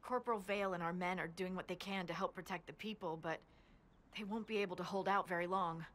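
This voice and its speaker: Woman acting a young fantasy game scout girl, earnest n dutiful, straight talking, slightly low voice. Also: fairly even intonation, fast, fairly bright tone, natural voice. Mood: serious, concerned. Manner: plain-spoken, earnest. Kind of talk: video game dialogue.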